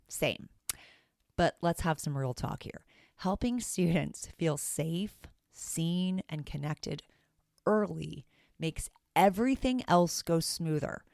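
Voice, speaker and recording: A low-pitched woman with a raspy edge talks through her teaching podcast in a chatty, self-deprecating way. The sound is dry and close, with no room around it. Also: a little mellow, dry recording. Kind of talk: casual solo podcast talk.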